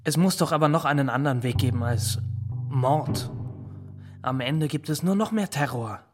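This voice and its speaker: An actor playing a worried, gentle young fiancé in a radio drama, speaking earnestly. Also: male voice, a little high, normal speed, music in the background, close to an everyday voice.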